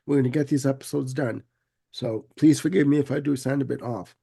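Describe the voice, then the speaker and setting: A man's voice: medium pitch, steady tone, brisk, creaky, dark tone, husky. A man with a head cold hosting a casual music chat, sounding congested, laid-back and given to repeating himself. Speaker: podcast host.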